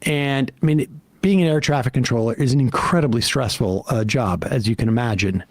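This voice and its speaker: An American man in his late fifties or older giving casual political commentary as a show host, halting and wry. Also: medium pitch, no room sound. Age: late 50s or older.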